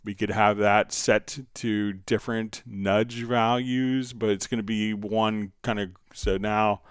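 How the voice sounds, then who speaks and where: Guy with a lowish clean voice doing a casual software q&a, kinda rambly, dry close mic. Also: fairly even intonation, slow, clean tone, dry recording. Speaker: livestream host answering viewer questions. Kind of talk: unscripted software tutorial, casual explaining.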